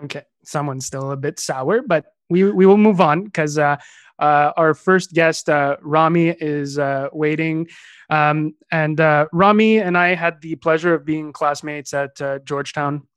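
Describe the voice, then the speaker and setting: A man with a slightly higher voice co-hosts a casual football podcast. He talks in halting bursts, packed with filler sounds. His voice is recorded close and dry, with no room sound. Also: a bit bright, smooth, no room sound. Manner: hesitant, many filler uhs. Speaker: podcast co-host.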